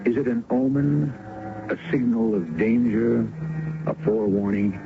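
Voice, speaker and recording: Gravelly man narrating a radio mystery. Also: mid-range voice, background noise. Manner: measured, suspenseful, portentous. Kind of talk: dramatic scripted radio narration. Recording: muffled old radio recording, music.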